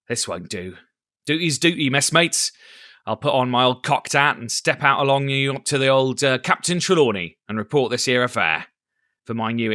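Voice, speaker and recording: A man voicing a blustering, cunning old one-legged pirate cook who shouts in salty sailor talk. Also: mid-range voice, dry recording, clean studio sound, natural voice.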